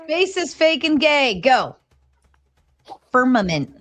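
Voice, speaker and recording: Halting woman hosting a voice chat. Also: sits high, sing-song, dry recording. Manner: halting, lots of fillers, laughing asides. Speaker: stream host. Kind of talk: casual heated panel chat. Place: remote online voice chat.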